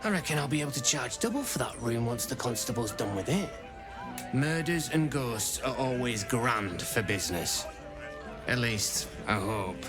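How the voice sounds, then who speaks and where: Actor as a chatty British tavern keeper. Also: male voice, mid-range voice, lively intonation, normal speed, lightly acted. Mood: wry, chatty. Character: chatty, opportunistic tavern keeper, cheerfully morbid man. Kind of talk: video game character acting. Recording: music and pub crowd behind.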